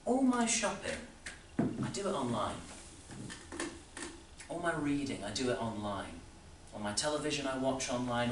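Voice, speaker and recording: A man's voice: mid-range voice, fairly bright tone, close to an everyday voice. Man acting Elwood, a volatile, overbearing boss. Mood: worked-up. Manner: rambling, insistent, with rhetorical questions and sudden swells. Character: Elwood, overbearing, needling male boss fretting about the young. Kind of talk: theatrical stage monologue. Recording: roomy, distant mic, faint background noise.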